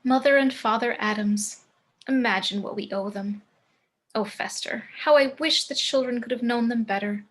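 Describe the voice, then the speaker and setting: A woman's voice: fairly high pitch, normal speed, fairly bright tone. A woman playing an elegant, grandly morbid matriarch in a script table read, composed and dramatic. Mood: grave, proud.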